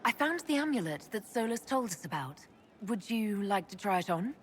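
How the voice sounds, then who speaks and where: An actress playing a composed, caring female leader in a fantasy video game, asking measured questions. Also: mid-range voice, big pitch swings, slight background noise, lightly acted. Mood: calm, concerned.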